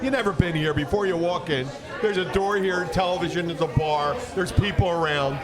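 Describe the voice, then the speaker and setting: A man casually telling rambling, profane stories as a podcast host and bartender, acting out conversations in an animated way. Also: high-pitched, a little fast. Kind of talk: casual bar banter and storytelling. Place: in a busy bar. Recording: bar chatter in the background.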